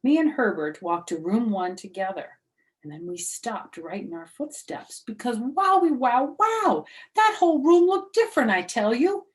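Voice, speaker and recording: Woman as storybook first-grade girl: chatty, excitable, exclamatory. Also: fairly high pitch, moderate pace, pitched above the performer's own voice, close to an everyday voice, rough recording. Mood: amazed. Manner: exclamatory, childlike phrasing.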